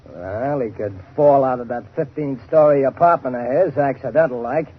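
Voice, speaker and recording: A man's voice: mid-range voice, normal speed, slightly rough, close to an everyday voice. An actor playing a dim, eager American wrestler turned henchman in a 1930s radio drama, with slangy, folksy tough-guy talk. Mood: casually menacing. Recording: noisy, muffled 1930s radio recording.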